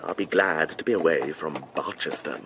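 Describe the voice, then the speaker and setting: An English actor playing a scheming, proud clergyman in a radio drama, speaking in a deliberate, oratorical way. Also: rumbling low, background noise. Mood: defiant, scheming. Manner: deliberate, oratorical, self-important. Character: scheming, wounded-proud male clergyman, smugly defiant.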